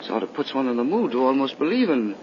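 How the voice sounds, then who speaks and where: A man's voice: mid-range voice, big pitch swings, quick pace, lightly acted. A man playing a nervous, impressionable young man in a 1930s radio horror drama, with an anxious, theatrical delivery. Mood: uneasy, musing. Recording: muffled, noisy 1930s radio recording.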